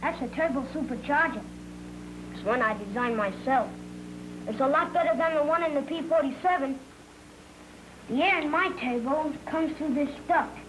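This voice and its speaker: A man's voice: very high voice, normal speed, long pauses. A young male actor playing an eager, know-it-all boy who loves airplanes, in the earnest style of a 1940s training film. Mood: insistent, know-it-all. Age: child. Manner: earnest, matter-of-fact recital of technical facts. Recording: noisy, humming 1940s film soundtrack.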